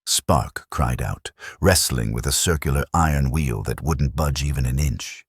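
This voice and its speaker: Very deep, husky male narrator. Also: measured pace, no echo, studio quality. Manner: grave, dramatic storytelling. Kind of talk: scripted audiobook narration.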